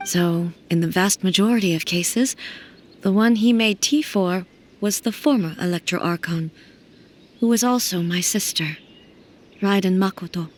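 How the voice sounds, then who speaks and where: An actress playing a dignified, reserved ruler deity in a video game, speaking in a measured, gently formal way. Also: female voice, medium pitch, lightly acted.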